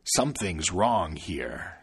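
Guy doing a grumpy storybook devil, low gruff growl, raspy. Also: very animated, slow, full character voice. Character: grumpy suspicious old male devil.

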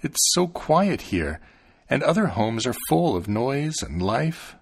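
A man voicing a gentle, doting farmer father in a storybook tale, plain-spoken and wondering. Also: medium pitch, big pitch swings, takes it slowly, vocal fry, breathy edge, full character voice. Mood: wistful.

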